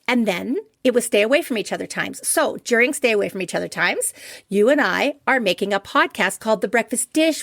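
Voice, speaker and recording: A woman's voice: a little high, fairly bright tone, no room sound, studio quality. A middle-aged woman co-hosting a podcast with her son, chatty and playful, often laughing. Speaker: podcast co-host. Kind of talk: playful podcast banter and ad read.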